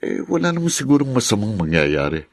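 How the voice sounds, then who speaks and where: An actor with a Filipino accent playing a calm, concerned friend in a radio drama. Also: male voice, lowish voice, a little fast, slight background noise. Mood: calm, reassuring.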